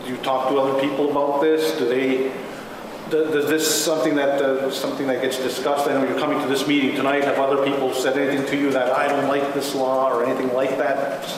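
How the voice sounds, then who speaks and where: A man moderates a meeting, feeling for his words as he goes. He is heard from a distance in a hugely reverberant room, with clear noise around him. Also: medium pitch, flat delivery, brisk. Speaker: meeting facilitator. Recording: very echoey room, distant mic, noisy.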